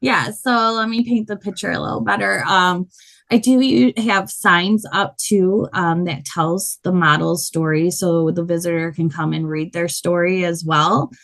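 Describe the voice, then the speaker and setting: A woman guest talks through her story with a loud, animated delivery and frequent laughter. Her voice sits a little low for a woman. She speaks in a relaxed, conversational interview manner. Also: measured pace, creaky. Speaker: guest, body painter.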